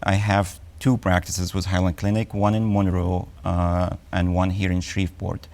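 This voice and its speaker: A man in his forties with an Egyptian accent, an oncologist speaking as a guest in a TV health interview, measured and full of ums and uhs. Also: low voice, little pitch movement, a little mellow.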